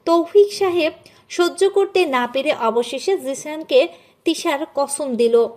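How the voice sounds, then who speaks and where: A woman narrator with a high voice. She delivers scripted storytelling, carrying the tale between the acted dialogue. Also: slow, darkish tone.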